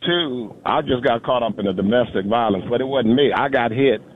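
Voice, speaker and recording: A man's voice: medium pitch, fairly quick, a little hiss or hum. A man calling in to a sports show for the first time, laid-back and casual. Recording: tinny phone line.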